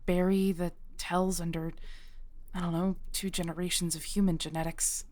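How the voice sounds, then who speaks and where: A woman playing a patient, knowing witch shopkeeper, centuries old, in an audio roleplay, with a conversational, pausing delivery. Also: fairly low pitch, little pitch movement, a little mellow, close to an everyday voice. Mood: pensive, puzzled. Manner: unhurried, pausing, sighing, conversational.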